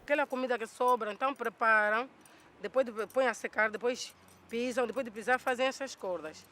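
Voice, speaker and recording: A man's voice: extremely high pitch, a little fast, pushed and bright. A man describing a ritual in a documentary interview, in a plain, repetitive listing way. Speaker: community member describing a ritual.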